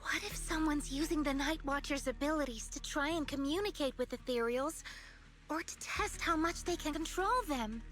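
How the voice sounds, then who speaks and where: A woman voicing an earnest young illusionist in an anime-style video game, speaking in a gentle, thoughtful, explanatory manner. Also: high voice, moderate pace. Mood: thoughtful, serious. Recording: music behind the voice. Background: background music.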